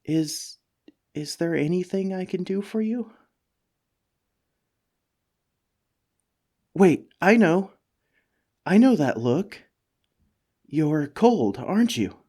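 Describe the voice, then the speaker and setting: A man plays a timid mimic monster disguised as a couch, in a comedic ASMR roleplay. The voice sits a little high and moves very slowly, stammering and rambling. It pleads with the listener and puts itself down like a hapless, unwanted roommate. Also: animated, darkish tone, heavily acted. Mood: eager, coaxing. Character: anxious, self-deprecating mimic monster living as a talking couch.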